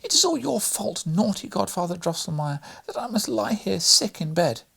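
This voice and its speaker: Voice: mid-range voice, big pitch swings, normal speed, gravelly, a bit bright, breathy edge, pitched above the performer's own voice, exaggerated voice. A narrator voicing a sick young girl from a storybook, upset, plaintive and scolding. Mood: upset, accusing.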